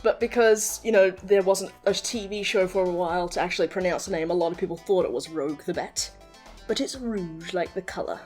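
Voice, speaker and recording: A woman's voice: fairly low pitch, brisk, darkish tone. A woman chatting casually and enthusiastically, swearing freely as she hosts a quiz and explains game lore. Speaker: host quizzing a partner on game characters. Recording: background music under the voice.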